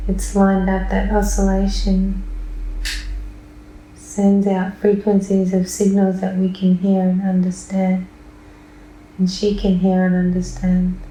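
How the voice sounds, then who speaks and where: A woman answering questions in a hypnosis session, trance-like and detached, in short, deliberate declarative sentences. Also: mid-range voice, warm tone, slight background noise. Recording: echoey room.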